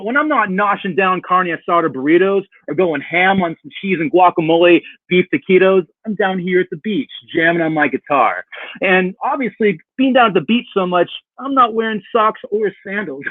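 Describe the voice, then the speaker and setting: A man's voice: high-pitched, no echo. Tinny-sounding SoCal surfer dude, played by a man. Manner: goofy, mellow slacker talk. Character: laid-back SoCal surfer dude narrator with guitar. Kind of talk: puppet show narration. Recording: tinny phone-like audio, guitar behind.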